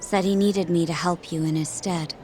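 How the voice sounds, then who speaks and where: An actress playing an urgent, secretive young woman in disguise in a video game, insistent and often hushed. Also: on the low side, fairly even intonation, moderate pace, a little hiss or hum, natural voice. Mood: exasperated, businesslike. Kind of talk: English game dub cutscene acting. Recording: music behind the dialogue.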